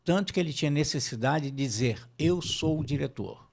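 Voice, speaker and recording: A middle-aged man with a Brazilian Portuguese accent hosts an interview in a thoughtful, measured way. His mid-range voice has a strong gravelly fry. He tends to bring in his own experiences as he talks. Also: on the high side, animated, darkish tone. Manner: thoughtful, measured, shares personal anecdotes. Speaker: host. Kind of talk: interview conversation.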